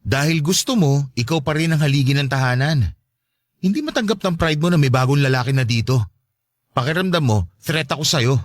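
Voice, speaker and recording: A man plays a gentle, effeminate stepfather in an audio drama. He talks fast with a very mobile pitch, meek on the surface but quietly defiant, recorded close and dry. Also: lively intonation, no room sound, natural voice. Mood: confrontational. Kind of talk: Tagalog audio drama dialogue.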